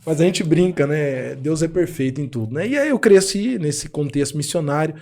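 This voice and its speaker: A man's voice: fairly high pitch, lively intonation, moderate pace, gravelly, darkish tone. A man in his thirties with a Brazilian accent, a pastor guesting on a podcast and casually telling his personal story. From born in Argentina to Brazilian parents. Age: 30s.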